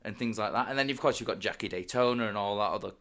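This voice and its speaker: A man's voice: lowish voice, racing, a bit bright. A man with a Yorkshire accent, co-hosting a podcast in casual chat with dry, teasing wit. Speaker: podcast co-host.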